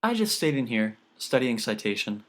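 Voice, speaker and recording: A man's voice: medium pitch, sing-song, normal speed, fairly bright tone. A man voicing an earnest teenage daughter who explains things patiently in an audiobook story. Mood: hesitant.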